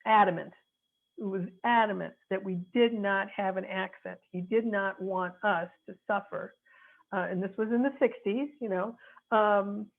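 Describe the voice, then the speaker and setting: Woman, 60s, attorney, from the Midwest, earnest and frank, gravelly, tinny phone-like sound. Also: medium pitch. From North Chicago, USA. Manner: earnest, searching for words, frank. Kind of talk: reflective advice talk.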